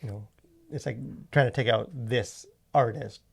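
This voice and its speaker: A man with a medium-pitched, creaky voice co-hosts a casual podcast. He talks in a loose, rambling, conversational way, circling through his opinions as a movie reviewer with plenty of filler words. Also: normal speed, a little hiss or hum. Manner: rambling, filler-heavy, frequent "like".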